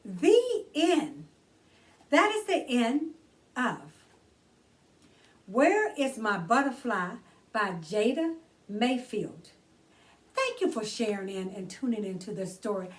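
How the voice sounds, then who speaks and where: High, animated woman reading a children's story slowly. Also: far from the mic. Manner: expressive, deliberate, drawn-out emphasis on key words. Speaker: children's storytime host and reader. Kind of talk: read-aloud children's story narration.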